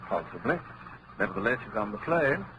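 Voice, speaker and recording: An actor playing an urbane, slippery police captain in a 1940s radio drama, dry and suave. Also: male voice, on the low side, very animated, a little fast, pushed and bright. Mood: dry, wry. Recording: noisy old radio drama recording.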